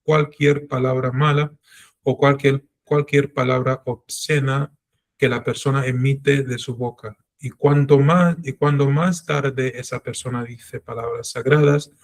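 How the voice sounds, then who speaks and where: A man's voice: medium pitch, level tone, unhurried. A middle-aged man teaching a Torah class in an earnest, self-reflective way, drawing on his own life. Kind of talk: religious class lecture.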